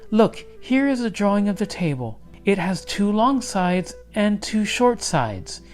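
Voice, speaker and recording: A man voicing Lady Di, a clever storybook wife, patiently explaining in a bright, helpful manner. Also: high voice, very animated, measured pace, soft background music, voice pushed up.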